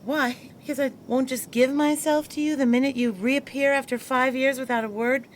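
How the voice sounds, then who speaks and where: A woman's voice: high-pitched, fairly even intonation, moderate pace, a little hiss or hum, close to an everyday voice. An actress playing a proper, married 1920s woman who defends herself and scolds her former lover. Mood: exasperated. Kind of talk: 1920s period audio drama.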